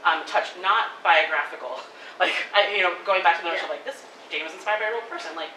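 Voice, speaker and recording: A woman giving quick, animated spoken feedback at a live table reading, thinking aloud with lots of "like"s. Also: fairly low pitch. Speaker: audience member giving feedback. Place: event room. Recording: echoey room, distant mic, faint noise.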